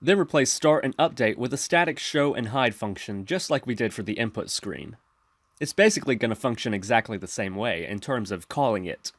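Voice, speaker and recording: A man narrating a software tutorial in an instructional, step-by-step manner. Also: medium pitch, expressive, fairly quick, no room sound. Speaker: tutorial presenter.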